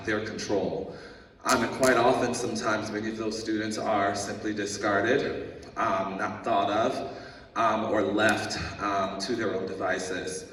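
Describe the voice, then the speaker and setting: A man giving earnest remarks as an education official at a ceremony, often pausing with um and uh. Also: on the low side. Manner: earnest, many ums and uhs. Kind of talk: ceremony speech. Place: large indoor hall. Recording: echoey hall from far off.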